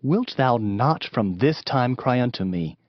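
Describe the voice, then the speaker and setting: A man's voice: mid-range voice, lively intonation, measured pace, dry recording. A man narrating a dramatized scripture reading in a solemn, declamatory manner. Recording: dull band-limited audio, music behind. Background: music.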